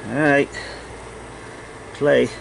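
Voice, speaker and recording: A man's voice: medium pitch, level tone, very slow, creaky, a little hiss or hum, low-quality recording. A man giving casual, off-the-cuff repair commentary in a plain-spoken, thinking-aloud way, recorded on a handheld camera in a cluttered workshop. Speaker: hobbyist repairer narrating own video.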